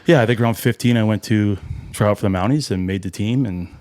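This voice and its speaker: A man's voice: lowish voice, fast talker, a little mellow, dry recording. A Canadian man from Ontario, a podcast guest telling stories in a laid-back, self-deprecating way. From Paris, Ontario, Canada. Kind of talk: casual storytelling. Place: studio.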